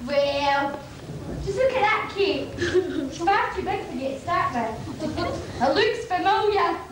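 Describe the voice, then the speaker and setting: A woman's voice: very high-pitched, animated, slow, full character voice. A woman with a Scottish accent playing a cheeky, downtrodden out-of-work nursemaid in an amateur pantomime, projecting broadly from the stage. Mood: mocking. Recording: echoey hall stage, distant mic, noisy audience.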